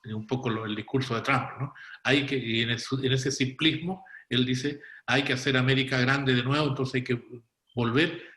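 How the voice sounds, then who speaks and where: A man's voice: medium pitch, fairly even intonation, normal speed, pushed and bright. Man, panelist, political analysis, explanatory, self-correcting, echoey room.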